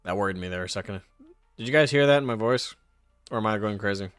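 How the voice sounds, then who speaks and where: Smooth-voiced man chatting on a stream. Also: fairly low pitch, quick pace, a bit bright, no room sound, soft background music. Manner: reads card text aloud, then rambles into anecdotes. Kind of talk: casual live stream chat.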